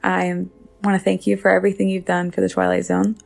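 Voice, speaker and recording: A woman speaks in a fairly low register with a strongly creaky edge. She gives casual commentary in a reflective, personal manner, like a listener sharing her own views. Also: completely flat delivery, brisk, darkish tone. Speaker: podcast listener giving episode opinions.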